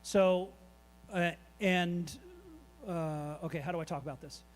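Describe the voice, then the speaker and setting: A man with a high-set voice talks loosely and candidly in front of a meetup crowd. He answers questions with fillers and restarts, in a relaxed, unscripted way. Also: measured pace, poor audio. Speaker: invited artist answering audience questions. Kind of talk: casual presentation Q&A. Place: event space at a meetup.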